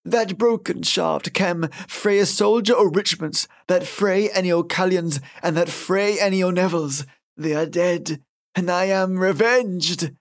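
A man voicing an eerie, vengeful old Scottish woman in dramatic, drawn-out dialect for a storybook tale. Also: high voice, pitched above the performer's own voice. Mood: exultant, vengeful.

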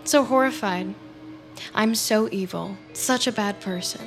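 An actress playing a sly, callous, manipulative killer in an audio drama, with a cool sardonic, coaxing manner. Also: female voice, mid-range voice, measured pace, soft background music, close to an everyday voice. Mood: mocking, cold. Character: sly, callous, manipulative woman who kills.

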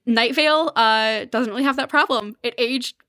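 A woman's voice: fairly high pitch, normal speed, sharp tone, dry recording, clean studio sound. A woman speaking as a podcast guest, giving thoughtful conversational commentary in an earnest, reflective and emphatic way.

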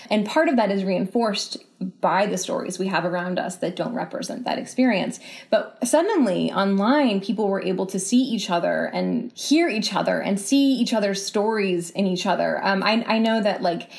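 A woman speaking as a guest in a podcast interview, reflective and explanatory, often pausing mid-phrase and softening points with casual qualifiers. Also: mid-range voice. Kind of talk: thoughtful podcast interview conversation.

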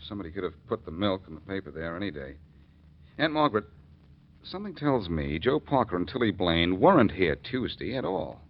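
An actor playing a confident, wry amateur detective in a 1940s radio drama, brisk and probing. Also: male voice, sits low, sing-song, full character voice. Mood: probing, insistent. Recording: dull, noisy old 1940s radio recording.

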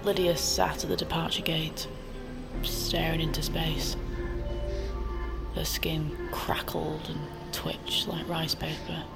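A woman's voice: low-pitched, flat delivery, takes it slowly, vocal fry, in a whisper, exaggerated voice. An actress playing a sly, blithe companion who narrates a woman's life in a composed way in audio fiction. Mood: wistful. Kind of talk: scripted first-person narration in audio fiction. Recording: music under the voice.

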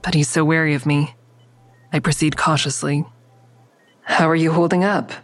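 Female crisis counselor, played by an actress: lower-pitched, close dry sound, earnest and uneasy, introspective audio drama narration. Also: fairly even intonation, moderate pace, dry recording, faint music behind, close to an everyday voice. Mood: gentle, hesitant. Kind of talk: first-person audio drama narration and dialogue.

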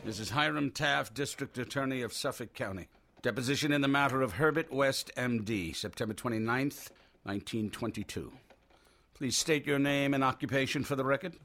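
An actor playing a gruff, skeptical 1920s district attorney, brusque and official, in an old-time radio play. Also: male voice, medium pitch, brisk, a bit bright, slight background noise, natural voice. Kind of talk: 1930s radio acting.

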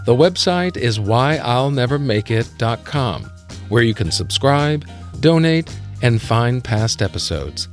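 A man's voice: medium pitch, very animated, a little slow, faint music behind. A middle-aged man hosting a podcast, moving between prepared narration and interview talk. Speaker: podcast host.

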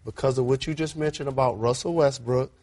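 A man's voice: medium pitch, fairly even intonation, fairly quick, darkish tone. A man from Detroit, co-hosting a casual basketball talk show. He speaks in a confident, opinionated and teasing way. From Detroit, USA. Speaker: co-host, basketball analyst.

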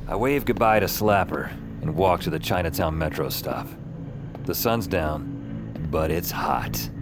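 Weary male antihero narrator, low and unhurried, wry audio-drama noir delivery over loud music. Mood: subdued. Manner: wry, deadpan. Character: weary, sardonic male hero narrating his dark supernatural trip. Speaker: lead character and narrator.

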